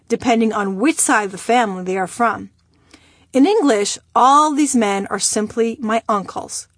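A woman from Iran, a native Persian speaker, reading her own memoir aloud in a measured, wry storytelling manner. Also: a little high, dry recording, studio quality. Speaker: memoir narrator.

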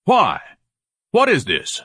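A man's voice: mid-range voice, big pitch swings, normal speed, a bit bright, husky, no echo, clean studio sound, pitched above the performer's own voice. A man voicing a pompous, self-pitying grown son who speaks suspiciously. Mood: frightened.

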